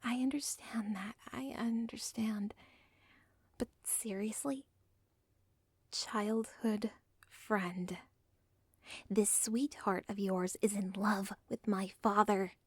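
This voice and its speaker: A woman's voice: medium pitch, steady tone, slow, long pauses, slightly rough, no room sound, clean studio sound. A woman voicing an unstable, lovestruck young villain's daughter in an ASMR role play, speaking in an intimate, pleading whisper. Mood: urgent. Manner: intimate, pleading, self-aware.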